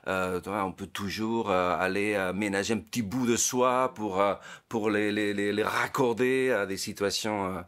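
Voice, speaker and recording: A man's voice: lowish voice, steady tone, normal speed, few pauses, a bit bright. A man in his late fifties, a psychologist giving an interview, thinking aloud and often stumbling over small repeated words as he searches for the next phrase. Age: 50s. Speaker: psychologist, guest. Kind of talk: reflective interview talk.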